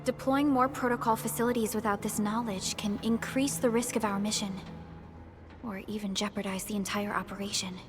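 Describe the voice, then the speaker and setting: A woman's voice: medium pitch, steady tone, lightly acted. Woman as a composed advisor over game music. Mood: cautious, analytical. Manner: measured, formal, deliberate. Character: composed, reassuring female technical expert and mission advisor. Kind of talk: dramatic video game voice acting.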